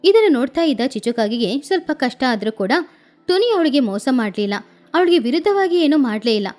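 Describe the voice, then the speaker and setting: A woman narrating a children's story in an animated storytelling style. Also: high voice, brisk, faint music behind.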